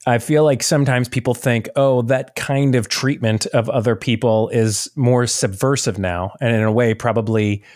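A man's voice: mid-range voice, fairly even intonation, moderate pace, hardly any pauses, a little mellow. A man hosting an interview podcast, reading an earnest introduction and then chatting with his guest. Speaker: podcast host.

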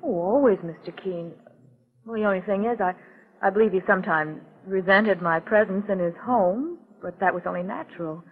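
A woman's voice: medium pitch, normal speed, lightly acted. An actress playing a brave but anxious young woman in danger in a 1940s radio melodrama, speaking earnestly, politely and with some hesitation. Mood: polite, uneasy. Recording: tinny vintage 1940s radio recording.

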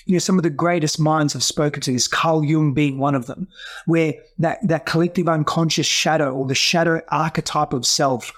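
A man's voice: on the high side, fairly even intonation, normal speed, a bit bright. A man who grew up in Greece and Australia, native Greek speaker, talking earnestly in an interview, with frequent restarts and "you know.". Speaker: guest coach. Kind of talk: reflective interview talk.

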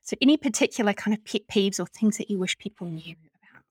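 A woman with a New Zealand accent hosting a podcast, chatting and asking questions in a relaxed, agreeable way with many fillers. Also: medium pitch, fast talker, breathy edge, dry recording. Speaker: podcast host. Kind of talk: casual interview chat.

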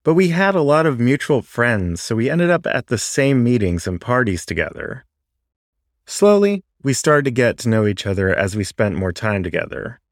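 A man reading a first-person story aloud as an audiobook narrator, in a reflective, confessional way with deliberate pauses. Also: medium pitch, sing-song, no echo, clean studio sound. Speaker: story reader.

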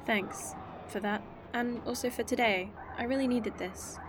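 A woman's voice: fairly high pitch, a little slow, lightly acted. Woman voicing an earnest young scientist, candid, faint hiss, audio drama.

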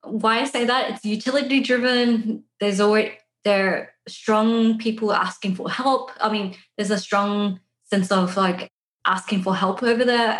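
Casual woman guest in echoey room. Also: mid-range voice. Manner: casual, filler-heavy with frequent "like". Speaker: podcast guest. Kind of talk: conversational podcast interview.

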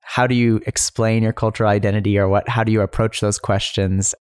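A man with a fairly deep voice hosts a conversational interview. He sounds casual and attentive, and the sound is very dry, without room echo. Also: level tone, brisk, clear, resonant tone. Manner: attentive, frequent short responses, casual hedging. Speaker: podcast host.

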